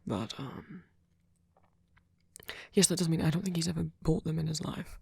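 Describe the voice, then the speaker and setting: A man playing a gentle, doting centuries-old vampire boyfriend in an ASMR roleplay, speaking softly and haltingly, often in a whisper. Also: on the high side, no echo, clean studio sound, heavily acted. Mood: fretting, gentle. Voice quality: soft, breathy, often whispered. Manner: halting, polite, fussing, softly reassuring.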